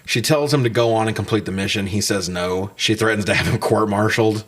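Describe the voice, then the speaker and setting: A man's voice: on the low side, brisk, a bit bright. A man co-hosting a casual, comedic movie review, talking with sarcastic, profane joking. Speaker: podcast co-host reviewing movies.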